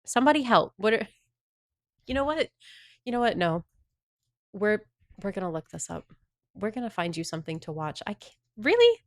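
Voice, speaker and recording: A woman's voice: mid-range voice, sing-song, moderate pace, long pauses, no room sound, studio quality. A woman from the Southern United States chatting casually on a livestream, rambling and answering her viewers' chat messages.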